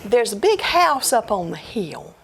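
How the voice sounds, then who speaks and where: A woman's voice: a little high, very animated, unhurried, slight background noise. A woman doing a folksy, drawling old man. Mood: confiding. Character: scared, sly older man giving advice.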